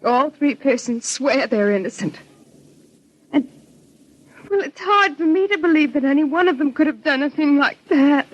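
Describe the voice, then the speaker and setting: A woman's voice: high voice, animated, moderate pace. An actress playing an earnest, grieving young woman in a 1940s radio mystery, with careful dramatic phrasing. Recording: dull vintage 1940s radio recording.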